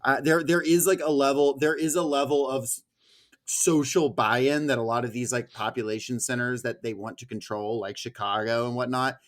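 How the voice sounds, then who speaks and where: An American man hosting a casual political discussion, talking in a halting way with lots of filler words. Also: medium pitch, fairly even intonation. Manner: halting, filler-heavy, peppered with "like". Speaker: podcast host.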